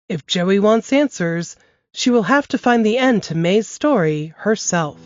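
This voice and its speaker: A woman reading a book blurb aloud at a steady, even pace. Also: mid-range voice, a little slow, soft background music. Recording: dull, dry lo-fi recording.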